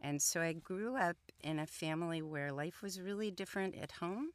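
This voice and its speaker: A woman's voice: fairly low pitch, normal speed, clear, resonant tone, no echo. A middle-aged woman from the American Midwest, a guest on a radio interview, talking thoughtfully with frequent ums and restarts. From Columbus, Ohio, United States. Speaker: guest, engineer and entrepreneur.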